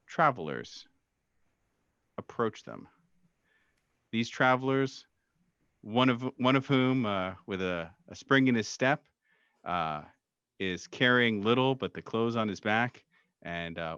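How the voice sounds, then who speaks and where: A man narrating a tabletop horror game as game master, halting and descriptive with frequent pauses. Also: lowish voice, fairly bright tone, smooth, dry recording, muffled recording. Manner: halting, full of fillers, descriptive.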